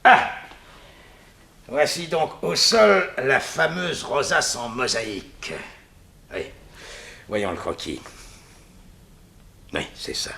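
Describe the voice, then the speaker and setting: Casting: murderous radio-serial thief. Mock-polite, greedy, sharp-edged voice with husky grain, swinging widely. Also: male voice, medium pitch, sing-song, takes it slowly, long pauses, full character voice. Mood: sly, absorbed. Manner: theatrical, mock-polite, chuckling menace. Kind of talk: 1960s French radio crime serial acting.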